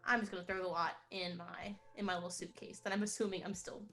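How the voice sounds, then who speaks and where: A woman's voice: fairly low pitch, little pitch movement, fast, a bit bright. A woman playing in a tabletop roleplaying game, chatting and stating her actions in improvised style.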